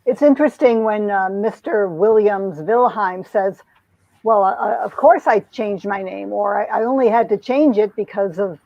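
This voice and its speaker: Casting: informal female speaker, medium pitch, halting delivery, slightly lo-fi recording. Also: vocal fry, no room sound. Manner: hesitant, searching for words. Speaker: member of a reading troupe. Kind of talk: casual, unscripted chat.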